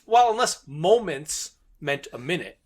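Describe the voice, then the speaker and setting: A man's voice: a little high, very animated, measured pace, breathy edge. A man co-hosting a podcast, casually recapping and discussing a story with wry, amused asides. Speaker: podcast co-host. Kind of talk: casual book and radio drama discussion.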